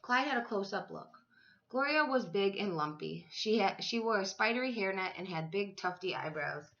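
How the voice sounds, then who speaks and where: A woman's voice: mid-range voice, animated, normal speed, fairly bright tone. Woman reading a storybook, slightly dull sound. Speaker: school teacher reading to third graders. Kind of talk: expressive children's storybook read-aloud.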